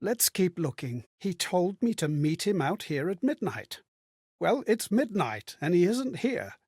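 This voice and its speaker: A courteous, bewildered spirit from a fantasy game. The voice sits fairly high and slightly husky in a dry recording. It speaks in a refined, contemplative, theatrical manner, like someone recounting memories. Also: male voice, sing-song, measured pace, darkish tone, dry recording, exaggerated voice. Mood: musing, uneasy. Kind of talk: dramatic video game voice acting, storytelling.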